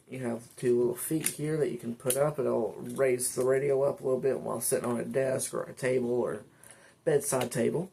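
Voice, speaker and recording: A man casually walking viewers through a product, explaining step by step in an informal, halting, unscripted way. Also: medium pitch, gravelly, warm tone, a little hiss or hum. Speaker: vlogger reviewing a weather radio. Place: indoors at a desk.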